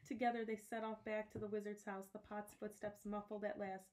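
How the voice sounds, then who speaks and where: A woman reading a storybook aloud with expressive, dramatic storytelling delivery. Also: medium pitch, a little fast.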